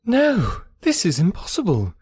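A genteel young woman of a period novel, played by a male audiobook reader, lifted high in pitch. She is lively and eager, disbelieving and emphatic in her phrasing. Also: moderate pace, breathy edge, no room sound, studio quality. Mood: urgent. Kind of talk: audiobook character dialogue.

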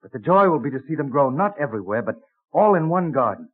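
An actor playing an eager young Quaker farmer and botanist in an old radio drama, speaking earnestly with thee and thy. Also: male voice, mid-range voice, very animated, fast, natural voice. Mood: excited, dreamy. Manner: earnest, enthusiastic, theatrical delivery with archaic thee and thy. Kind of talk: mid-century American radio drama acting. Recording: tinny, band-limited vintage radio recording.

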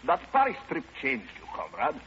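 A man's voice: mid-range voice, wildly swooping pitch, normal speed, fairly bright tone. A man playing an officious, blustering Soviet commissar in an old-time radio drama, on a muffled, noisy recording.

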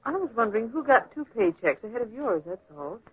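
An actress playing a sensible, teasing female secretary in an old radio drama, with a dry, gently needling manner. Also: fairly high pitch, sing-song, fairly quick. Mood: businesslike, curious. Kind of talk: 1940s radio acting. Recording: tinny, band-limited old radio recording.

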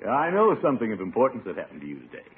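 An actor playing a genial, pompous middle-aged uncle in an old radio sitcom. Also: male voice, lowish voice, wildly swooping pitch, racing. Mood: knowing. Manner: pompous, chuckling, avuncular. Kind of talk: 1950s radio sitcom acting. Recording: muffled old radio recording, faint audience, hiss.

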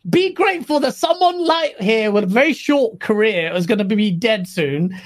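A man's voice: sits high, expressive, normal speed. A man guest on a casual gaming podcast, fiery and joking, talking in a rambling way. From Pakistani heritage. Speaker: guest, video creator.

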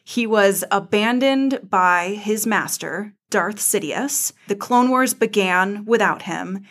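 A woman with an average-pitched voice is co-hosting a podcast. She tells the story as a casual recap, expressive and a little wry, like a fan talking to fans. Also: slow pace. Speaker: podcast co-host.

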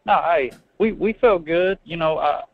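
Laid-back caller on a phone line. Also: male voice, a little high, unhurried, vocal fry. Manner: relaxed, friendly, hesitant fillers. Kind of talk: casual sports chat. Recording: tinny dry phone line.

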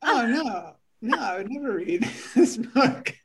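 A podcast guest in casual banter, incredulous and joking, with halting phrasing. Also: mid-range voice, lively intonation, background noise.

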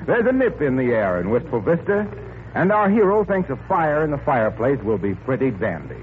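Casting: male American announcer, 1940s radio, declamatory, animated pitch, faintly noisy vintage recording. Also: brisk, phone-quality sound.

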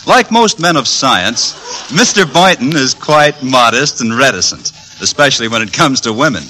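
Bright, forceful American radio announcer man. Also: medium pitch, lively intonation, normal speed, slight background noise, rough recording. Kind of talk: scripted 1940s-50s radio announcing and narration. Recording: old radio broadcast with music. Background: music.

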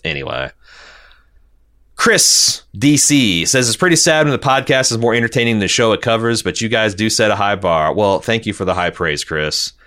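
A man with a low, bright voice co-hosts a podcast. He talks casually and reads out listener messages, with a forceful, clear-cut edge to his sound. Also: lively intonation, moderate pace. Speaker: podcast co-host reading listener feedback. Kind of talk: casual chat and reading listener messages aloud.

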